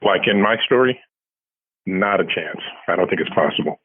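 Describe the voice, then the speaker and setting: A man's voice: low-pitched, expressive, normal speed, a little hiss or hum. Older man on a tinny phone line. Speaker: science fiction author, interview guest. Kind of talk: casual conversational storytelling.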